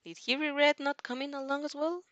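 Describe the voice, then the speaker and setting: Female fanfic reader: expressive, casual, high bright tone, dry close recording. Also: brisk, no echo, dull audio. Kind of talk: expressive story narration with casual reaction asides.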